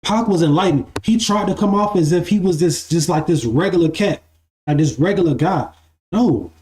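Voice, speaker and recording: A young man in his twenties talks through spiritual ideas in a loose, repetitive way, checking in with "right?" as he goes. His voice is mellow and sits a little high. The room is echoey, with faint music underneath. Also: normal speed. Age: 20s. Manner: repeats phrases, asks "right?". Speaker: spiritual live-stream host. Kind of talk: casual spiritual monologue.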